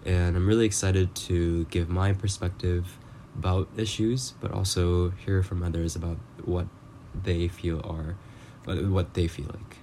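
A man of twenty introducing himself casually, hesitant and pausing with many ums. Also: low-pitched, flat delivery, mellow, slight background noise. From South Korea, raised abroad. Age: 20s. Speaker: student guest.

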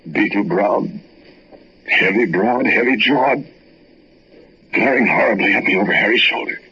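A haunted, fearful man trapped in a cave, in a 1940s radio horror play. His voice is very deep and forceful, halting and dramatic, on a muffled old broadcast recording. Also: husky, exaggerated voice. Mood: grave narration. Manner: dramatic, halting, stammering when alarmed. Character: frightened, awestruck man trapped in a cave, narrating his ordeal.

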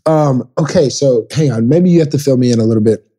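Young guy from texas rambling thru stories on a podcast, kinda dark mellow tone. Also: medium pitch, fast talker. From Dallas, Texas. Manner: rambling, animated storytelling with acted-out quotes. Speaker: podcast guest. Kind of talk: casual chat.

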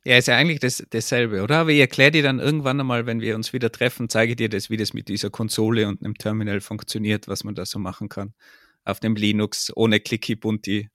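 A man's voice: fairly low pitch, little pitch movement, quick pace, sharp tone, no echo, clean studio sound. A man with an Austrian accent, chatting casually as the co-host of a podcast.

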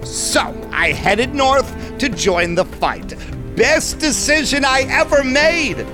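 A man's voice: very high-pitched, expressive, takes it slowly, over music, exaggerated voice. An actor playing a sly, self-assured male vampire businessman who schemes and tells his own story in an audio drama. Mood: sly, confiding. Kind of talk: audio drama acting and first-person narration.

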